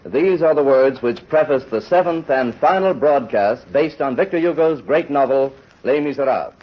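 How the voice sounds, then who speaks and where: A man's voice: mid-range voice, expressive, normal speed. A man announcing a programme in a formal 1930s radio style. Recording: dull old radio broadcast recording.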